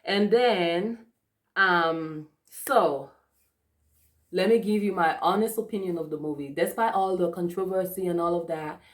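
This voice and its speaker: A woman's voice: fairly low pitch, measured pace. A young woman from Africa giving a casual, chatty movie review with lots of fillers and restarts. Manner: chatty, many fillers and restarts, frequent laughs. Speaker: vlogger.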